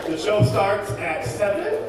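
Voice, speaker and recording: A man's voice: sits high, big pitch swings, a little slow. A man hosting a live stage show, chatting to the audience in a folksy, jokey way with announcer flourishes. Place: community hall, live before an audience. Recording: echoey hall from far off, music and audience noise.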